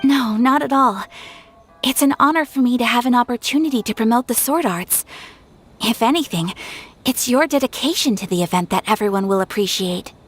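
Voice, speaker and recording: An actress playing a gentle, modest young noblewoman in a video game, with formal, polite delivery. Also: on the high side, a little fast, soft background music, natural voice. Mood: gracious.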